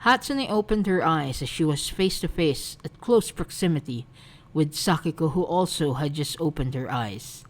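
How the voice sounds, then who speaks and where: Low-voiced woman narrating romance. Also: lively intonation, moderate pace. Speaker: fan fiction narrator. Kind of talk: soft scripted romance narration.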